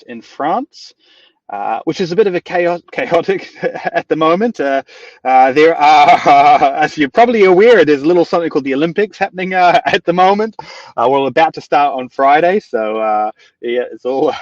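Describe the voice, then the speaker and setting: A man's voice: on the high side, dry recording, recorded from a distance, muffled recording. A man from New Zealand with a New Zealand accent, hosting a live webinar in an upbeat, chatty way with plenty of fillers and restarts. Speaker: webinar host.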